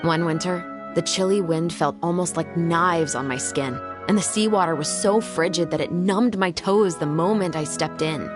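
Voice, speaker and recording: An actress playing an earnest, resolute young woman patrol officer in a video game, speaking in a measured, serious way. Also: on the low side, close to an everyday voice. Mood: somber, resolute. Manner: measured, composed, serious storytelling. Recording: studio voice under game music.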